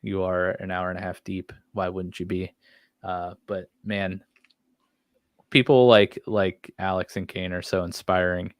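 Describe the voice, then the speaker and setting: A man's voice: low voice, level tone, a little slow, dry recording. A man co-hosting a podcast, chatting casually and enthusiastically about horror series in an informal way. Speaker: podcast co-host.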